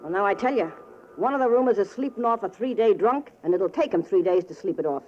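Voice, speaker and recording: A woman's voice: medium pitch, animated, fast talker, a little mellow, close to an everyday voice. Woman playing brash, wisecracking flophouse landlady. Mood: brash, weary. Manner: brash, slangy, sardonic. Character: gruff, wisecracking, world-weary landlady of a flophouse. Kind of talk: 1940s radio drama acting. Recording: noisy, muffled 1940s radio broadcast.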